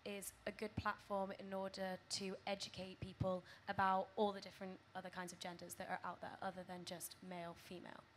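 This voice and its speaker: A woman moderating a panel, asking questions gently with some hesitation. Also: medium pitch, monotone, fairly quick, few pauses, a little hiss or hum. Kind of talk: panel discussion.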